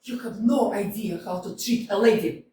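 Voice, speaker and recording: An amateur actress reading the part of a proud, reclusive widow, prim and reproachful. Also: female voice, medium pitch, moderate pace, mellow, slight background noise, natural voice. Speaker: amateur actor in a staged reading. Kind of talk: staged play reading. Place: small community theater stage. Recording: echoey hall from a distance.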